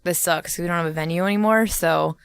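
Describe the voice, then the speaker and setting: Lowish-voiced woman in casual, blunt chat. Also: a little fast, gravelly, clean tone, dry recording, clean studio sound. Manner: blunt, swearing freely. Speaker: podcast co-host.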